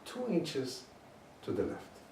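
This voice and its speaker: A man leading a guided meditation, slowly drawing out and chanting short phrases. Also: a little high, wildly swooping pitch, a little mellow, slight background noise. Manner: slow, drawn-out chanting of phrases. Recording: echoey room, mic at a distance.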